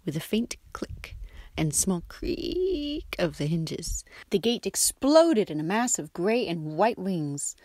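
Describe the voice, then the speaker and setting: A woman's voice: fairly low pitch, dry recording. A woman reading a scripted short story aloud as a storyteller. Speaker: storyteller, shop assistant presenter.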